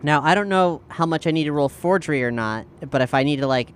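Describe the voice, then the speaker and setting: A man chatting casually as a tabletop game player, thinking aloud in a halting way. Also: on the high side, clear, resonant tone.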